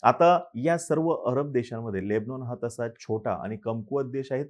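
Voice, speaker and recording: A young man hosts a scripted news explainer. His voice sits at an ordinary middle pitch. He keeps a steady explanatory pace and stops now and then on a brief filler. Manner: steady explanatory delivery with occasional filler pauses.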